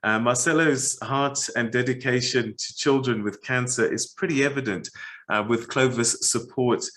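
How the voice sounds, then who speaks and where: Man hosting a webinar, halting. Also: medium pitch. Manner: warm, halting with many uh fillers. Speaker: webinar host. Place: studio set, indoors. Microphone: video call.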